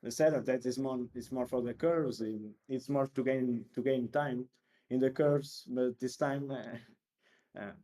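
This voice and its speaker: A man's voice: mid-range voice, steady tone, a little slow, warm tone. A man with a Portuguese accent answers questions in English, his second language. He stops and restarts often, repeating words while he searches for them. He is heard over a voice chat call. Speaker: interviewed sim racer. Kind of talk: casual interview.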